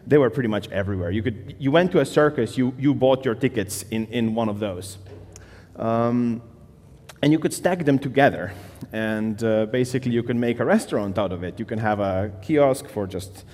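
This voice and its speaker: A man's voice: mid-range voice, normal speed, darkish tone, long reverb tail. A man from Slovenia giving a casual conference talk on stage, with loose phrasing, fillers and restarts. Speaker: conference speaker.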